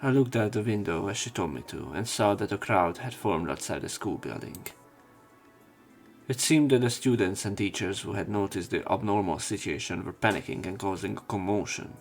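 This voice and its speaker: A man narrating a visual novel playthrough, reading story text and dialogue aloud with some halting pauses. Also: lowish voice, expressive. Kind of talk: scripted narration and character line reading. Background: game music, keyboard typing.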